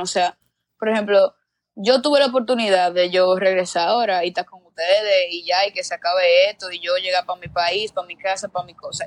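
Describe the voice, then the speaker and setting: A woman's voice: mid-range voice, no room sound. Young Dominican woman, reflective guest, dry close-miked voice. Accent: Dominican Spanish. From Dominican Republic. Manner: earnest, reflective, repeats words while searching for phrasing. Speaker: guest, exchange student abroad. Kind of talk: casual conversation.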